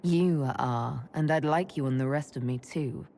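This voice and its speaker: A woman voicing a calm, self-assured elf mage leader in a video game, speaking in a measured way. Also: extremely low pitch. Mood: flirtatious. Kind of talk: video game dialogue acting.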